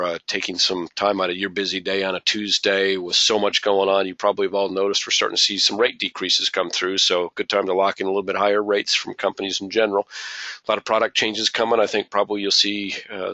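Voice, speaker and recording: A male webinar host with a low voice and a forceful edge reads listener questions aloud. He is losing his voice. The recording is dull and dry. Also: no room sound. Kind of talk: hosting a webinar.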